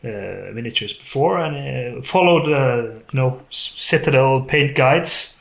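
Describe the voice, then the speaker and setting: A man from Norway with a Norwegian accent, a native Norwegian speaker chatting casually in English as a podcast guest, in a halting way. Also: mid-range voice, creaky. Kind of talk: casual chat. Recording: roomy, echoey sound.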